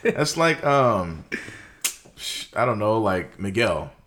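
A young man co-hosting a podcast, talking loosely about movies in slangy, animated casual chat with lots of fillers. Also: lowish voice, takes it slowly, background noise. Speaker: podcast co-host.

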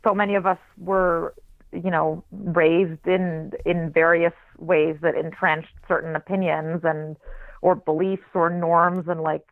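A writer raised in Israel with a flat American accent, speaking as an interview guest in a thoughtful, searching way with many restarts. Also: mid-range voice, unhurried, dry recording. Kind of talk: reflective conversational interview. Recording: tinny phone-line recording.

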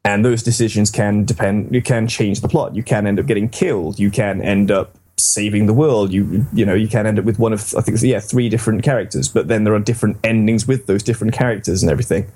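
A man's voice: fairly low pitch, fairly even intonation, fast, hardly any pauses, mellow, breathy edge. A man hosting a casual, rambling and enthusiastic discussion about games. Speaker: podcast host.

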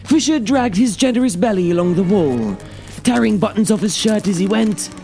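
A man narrating a story in a theatrical, expressive, dramatic audiobook style. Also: high-pitched, moderate pace, gravelly. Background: music.

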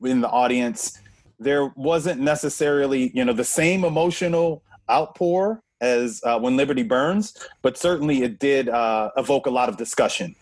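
A man's voice: medium pitch, normal speed, no room sound. A man co-hosts a talk show and introduces a guest. His delivery is earnest and full of "you know" fillers, and his recording sounds dry and close. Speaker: co-host.

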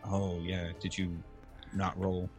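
A man's voice: low voice, monotone, slow, a little mellow, soft background music. A man playing a tabletop game, matter-of-fact and helpful with the rules. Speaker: player. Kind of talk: casual chat at a game table.

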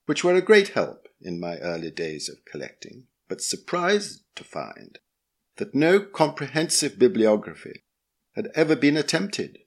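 Husky low-voiced British man reading aloud. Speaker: podcast host and reader. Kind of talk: scripted essay reading.

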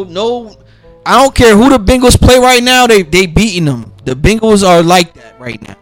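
A man with a raspy, worn-down voice talks through a casual solo podcast, sounding a bit sick. He rambles loosely, restarting lines and filling gaps with ums. His voice sits a little high for a man, with faint music underneath. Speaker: podcast host making football picks.

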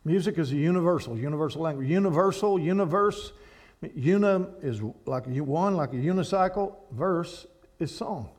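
A man preaching to a church congregation, animated and rambling, with frequent restarts. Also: on the high side, moderate pace. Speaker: guest speaker at a church. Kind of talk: sermon to a congregation.

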